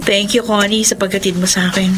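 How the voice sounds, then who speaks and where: An actress playing a caring but nagging young wife in a Filipino radio drama, expressive and conversational. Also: female voice, medium pitch, quick pace, loud music behind the voice, close to an everyday voice. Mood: friendly. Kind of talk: Tagalog radio drama acting. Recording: studio radio drama with clear background noise.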